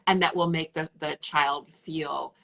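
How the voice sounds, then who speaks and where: A woman author talking casually in a live-stream interview over a video call, animated and full of "like" fillers. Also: deep voice, a little slow, vocal fry. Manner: animated and talkative, many "like" fillers. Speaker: author and illustrator guest.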